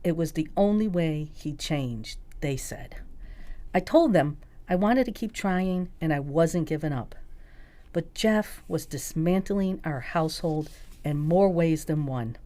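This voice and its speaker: A woman's voice: low-pitched, measured pace, dark tone. A woman reading a scripted first-person memoir as an audiobook narrator.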